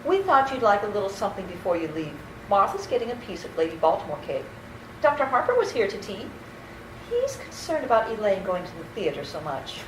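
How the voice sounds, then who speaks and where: A woman playing a sweet, serenely batty elderly aunt in a radio play, genteel and gently scolding. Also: mid-range voice, huge pitch swings, brisk, distant mic. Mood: sweetly serene. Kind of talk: old-time radio play acting. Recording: echoey room, off-mic, slight noise.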